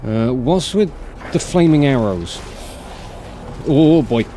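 A man's voice: mid-range voice, lively intonation, takes it slowly, warm tone, a little hiss or hum. A man in his thirties giving casual, exasperated, sweary gameplay commentary as he streams, close on the mic indoors. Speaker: video game streamer. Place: indoor room, seated at gaming setup. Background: game music and sound effects.

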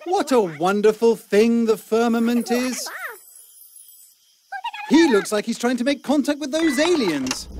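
A man's voice: extremely high pitch, expressive, a little slow, pauses often, noisy background. A man with a British accent playing a fussy, kindly children's storybook narrator in a game, theatrical and quaintly polite. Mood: delighted. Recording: music under the voice.

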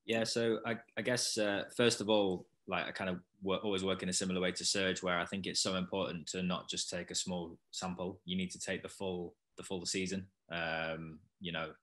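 A man's voice: low voice, fairly bright tone. A man who works as a set-piece analyst, explaining his method fluently with some fillers on a video call. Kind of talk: webinar explanation.

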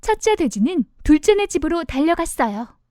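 A woman's voice: very high-pitched, big pitch swings, moderate pace, noisy background. A woman narrating a children's storybook as storyteller, expressively and simply for young listeners.

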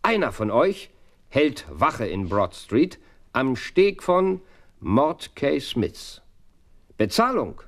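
An actor playing a brilliant, self-assured detective in a German audio drama, theatrical, commanding and slightly teasing. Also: male voice, medium pitch, sing-song, slow pace, a bit bright, full character voice. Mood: brisk, commanding.

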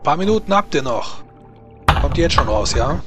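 A man's voice: on the high side, very animated, moderate pace, over loud music. A man running a tabletop role-playing game as game master, narrating scenes and rulings to his players. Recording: noisy background with room ambience.

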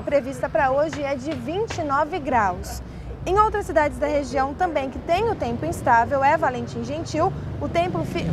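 A young woman with a high voice reads local news and a weather forecast into a lapel mic on a studio set. She stumbles now and then and corrects herself. A faint hiss sits under her voice. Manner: reads from notes, small restarts. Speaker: news anchor.

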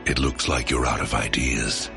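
Menacing warlord, extremely deep and gravelly. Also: male voice, steady tone, normal speed. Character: menacing hulking warlord, villain of an animated film. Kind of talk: animated film acting. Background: music.

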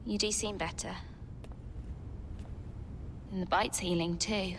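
An actress playing a bossy, guarded, frazzled woman in a dramatic game cutscene, talking in a sharp, exasperated way. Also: mid-range voice, steady tone, takes it slowly, a little hiss or hum. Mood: guarded, weary. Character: bossy, frazzled, guarded woman actor sheltering in her home. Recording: long reverb, music underneath.

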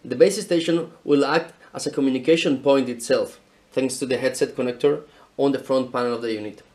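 A man's voice: mid-range voice, fairly quick, a little mellow. A man in his thirties from Spain presenting equipment in a careful, explanatory scripted style, filmed in an indoor showroom with a camera mic at medium distance. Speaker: product presenter.

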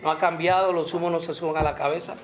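New york guy chatting at a press table, pretty high voice, easygoing and humble. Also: little pitch movement, fast, very noisy. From New York, USA. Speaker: salsa singer at a press conference. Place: indoor restaurant, seated at a table. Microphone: table microphones, later handheld mic.

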